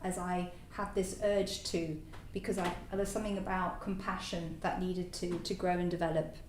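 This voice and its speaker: Woman, native French speaker, halting reflective talk, distant mic in an echoey room with faint noise. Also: lowish voice, level tone, a little mellow. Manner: hesitant, halting, many fillers and restarts. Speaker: new Buddhist order member. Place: meditation centre hall.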